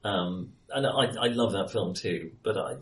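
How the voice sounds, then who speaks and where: A man's voice: on the low side, unhurried. A man chatting casually about films, often hesitating and correcting himself as he goes. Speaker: film podcast host. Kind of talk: casual film discussion. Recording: roomy, echoey sound.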